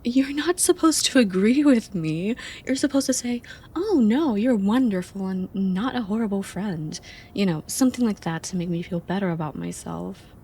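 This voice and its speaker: Woman acting a soft, mellow, affectionate young friend. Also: medium pitch, moderate pace, slightly rough. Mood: surprised, playful. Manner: soft, playful, expressive. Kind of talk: intimate ASMR roleplay acting.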